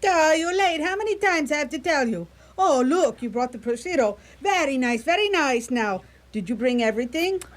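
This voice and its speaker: A woman's voice: sits high, animated. A woman playing a bossy older Italian mother with an Italian accent, scolding in a radio comedy. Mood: exasperated, bossy. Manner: scolding, exasperated, rapid questions. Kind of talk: live radio comedy drama acting.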